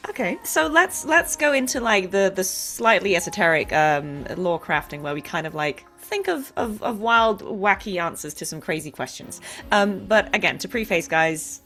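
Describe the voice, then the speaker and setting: A woman's voice: medium pitch, lively intonation, moderate pace, few pauses, pushed and bright, soft background music. A woman hosting a casual interview between voice actors, chatty and playful in the way she talks.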